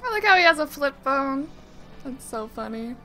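A woman's voice: high-pitched, very animated, normal speed, pushed and bright, soft background music. A woman giving casual, reactive commentary on a video game, slangy and often puzzled. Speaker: gamer doing a blind let's play.

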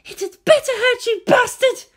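A narrator voicing a frightened, tearful young captive woman with a breathy, pleading, emotional delivery. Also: extremely high pitch, normal speed, fairly bright tone, pitched above the performer's own voice. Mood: fierce, vengeful.

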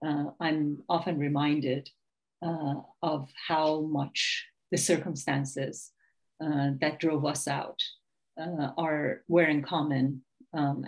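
A woman's voice: low voice, darkish tone, low-quality recording. A middle-aged woman from Iran, an author talking as a guest over a video call, thoughtful, with many fillers and restarts. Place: home room with bookshelf.

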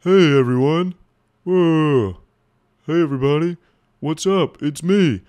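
A young man putting on the high, squeaky voice of a little boy saying hello. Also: slow, a little mellow. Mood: chirpy. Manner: high, squeaky kid imitation.

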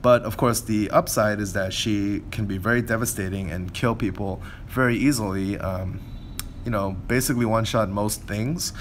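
Low voiced or canadian guy chatting thru a game setup, hedges a lot, some noise behind him. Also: fairly even intonation, normal speed. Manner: conversational, hedging and self-correcting. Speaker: game video creator. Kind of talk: casual unscripted game walkthrough commentary.

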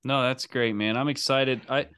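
A man's voice: medium pitch, fairly even intonation, moderate pace, a bit bright, clean tone, dry recording, studio quality. A middle-aged man chatting casually as the host of a coaching call, indoors on a video call. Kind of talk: casual chat. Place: indoor home office.

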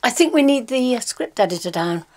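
A woman's voice: on the high side, animated, brisk, lightly acted. A woman playing a fretful actress who reads a family role in a radio soap, with theatrical delivery. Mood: grumbling. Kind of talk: radio drama acting in screen comedy. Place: small radio recording studio.

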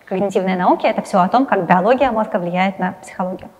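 A young adult woman speaking quickly at a middle pitch, seated in an indoor studio for an interview. She fills her pauses with hesitant sounds. Her voice carries a long reverb. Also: darkish tone, muffled recording. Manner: hesitant fillers, fast and talkative. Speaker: interviewee, science journalist. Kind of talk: interview answers to camera. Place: indoor studio with plain wall, seated in armchair.

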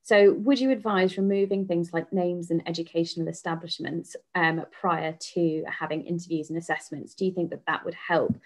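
A woman's voice: lowish voice, steady tone, moderate pace, few pauses. A woman co-hosting an online panel, thanking the speakers and reading out audience questions. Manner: polite, reads questions. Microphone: video call.

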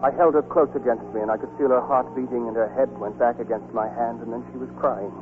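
An actor playing an earnest young lieutenant, speaking as lead and narrator in 1940s radio style. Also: male voice, medium pitch, fairly even intonation, quick pace, natural voice. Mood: sober recounting. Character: earnest young lieutenant in love, recounting war. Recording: muffled 1940s radio broadcast with music.

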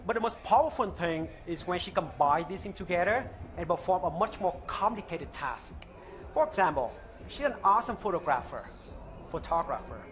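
Man with Vietnamese accent pitching on stage. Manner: earnest, halting non-native phrasing. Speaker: startup founder pitching. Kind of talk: live product pitch. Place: open-air stage at a trade show. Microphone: headset mic.

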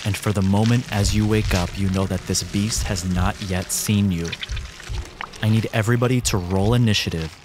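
A man's voice: on the low side, steady tone, moderate pace, over music. A man working as game master, narrating a tabletop game dramatically in the second person.

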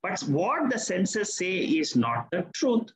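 A man's voice: sits high, sing-song, slow, roomy sound, slight background noise. An older man, a Vedanta teacher, patiently explaining answers to a student in an online class. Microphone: video call.

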